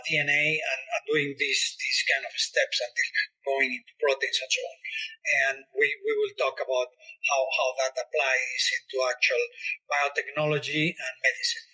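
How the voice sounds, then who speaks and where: A man's voice: mid-range voice, pushed and bright, husky, low-quality recording. A middle-aged man from Spain with a Spanish accent, answering interview questions in English with many fillers and repeated words. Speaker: biotech executive guest.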